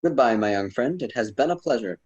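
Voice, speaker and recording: A man's voice: medium pitch, expressive, fairly quick, a little mellow, muffled recording, close to an everyday voice. A man playing a wise, wordy poet mentor with a formal, didactic manner in an amateur student radio drama. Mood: gentle farewell.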